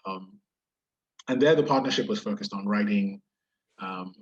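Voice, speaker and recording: Nigerian-British accented man, low and husky, halting delivery with fillers, dull lo-fi audio. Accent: Nigerian with British influence. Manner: hesitant, many fillers and restarts. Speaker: venture capital investor. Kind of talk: webinar interview. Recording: dull lo-fi sound.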